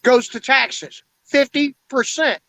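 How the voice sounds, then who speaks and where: A man's voice: extremely high pitch, fairly even intonation, slow pace, pushed and bright, dry recording. A man in his forties in a casual, blunt and profane chat, rambling as he talks. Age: 40s. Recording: dull lo-fi dry sound.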